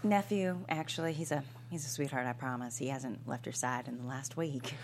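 A woman playing a kind, apologetic nurse in her forties, gently reassuring, in a comedy table read. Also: a bass voice, brisk. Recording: faint hum and background noise.

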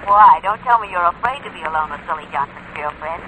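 Playful, arch radio sidekick. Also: female voice, fairly low pitch, lively intonation, fast talker, bright, forceful tone. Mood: teasing. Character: teasing, quick-witted female sidekick of a detective. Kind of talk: old-time radio drama acting. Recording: noisy vintage radio recording.

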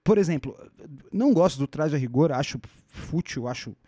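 A man's voice: a little high, animated, moderate pace, mellow, dry recording, studio quality. A man with a Brazilian Portuguese accent hosting a podcast, explaining history in a thoughtful conversational way, often restarting his phrases and adding rhetorical asides. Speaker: podcast host.

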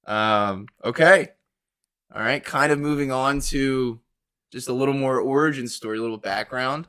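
A man's voice: mid-range voice, normal speed, sharp tone, clean tone. A man with an American accent hosting a podcast and interviewing in a casual, chatty way.

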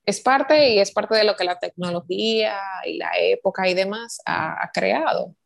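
A woman from the Dominican Republic with a Dominican accent, co-hosting a casual chat over a video call and filling pauses with "eh". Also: mid-range voice, creaky. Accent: Dominican Spanish. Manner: chatty, with many "eh" fillers. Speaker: co-host.

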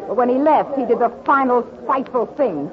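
A woman's voice: fairly high pitch, expressive, fairly quick, lightly acted. An actress playing a spiteful, indignant neighbour woman in 1940s radio drama style. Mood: resentful, indignant. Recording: dull 1944 recording with crowd behind.

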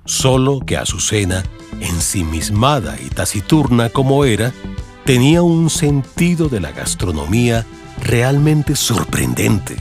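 A man's voice: mid-range voice, very animated, a little slow. A man with a Colombian accent narrating a dramatized audiobook in the style of a radio serial. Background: music under the voice.

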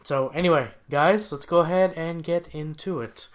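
A man's voice: fairly high pitch, normal speed. Hesitant man co-hosting a casual podcast. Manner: hesitant, restarts often, searches for words. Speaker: podcast co-host. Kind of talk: casual chat counting down songs.

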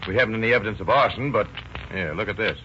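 A man's voice: lowish voice, lightly acted. An actor playing an eager lawman who lays out evidence, in a 1930s radio drama. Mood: urgent. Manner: quick, emphatic. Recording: dull old radio recording with hiss.

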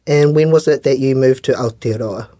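A man asks prepared interview questions in a mid-range voice. The sound is close and dry, and his phrasing is careful and measured. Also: medium pitch, warm tone, dry recording. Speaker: interviewer, podcast host.

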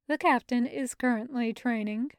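A woman voicing a polite male AI butler in an audio story, formal and measured. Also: on the high side, no room sound, clean studio sound, voice pushed down, lightly acted. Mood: politely composed.

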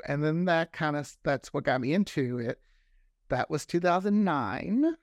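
A man with a fairly high-pitched voice talks casually in an interview. He tells stories in an animated way, slipping into quoted dialogue. The sound is dry and close, with little room on it. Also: no echo. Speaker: podcast creator guest.

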